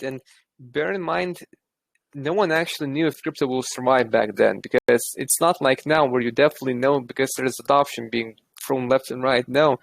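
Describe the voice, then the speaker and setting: A man's voice: mid-range voice, dry recording. A man from Lithuania with a Lithuanian accent, talking in a casual, thoughtful chat and often restarting his sentences.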